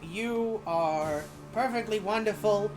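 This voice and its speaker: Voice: mid-range voice, takes it slowly, darkish tone, low-quality recording. A young adult game streamer with a youthful-sounding voice, chatting casually in a rambling, frank, emphatic way and swearing freely. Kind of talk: casual chat while gaming. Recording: game music behind the voice. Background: video game music.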